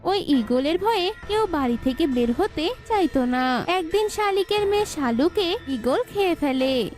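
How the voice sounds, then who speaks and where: A woman's voice: very high voice, expressive, a little slow, a little mellow, faint music behind. A woman narrating a scripted children's cartoon story.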